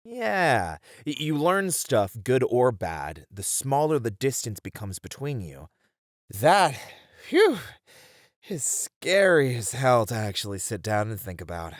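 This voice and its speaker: A man's voice: mid-range voice, slow pace, dry recording, clean studio sound. A man playing a thoughtful game developer, candid and casual, uneasy yet eager, in an ASMR roleplay. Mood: uneasy, reflective. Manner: casual, hesitant, expressive.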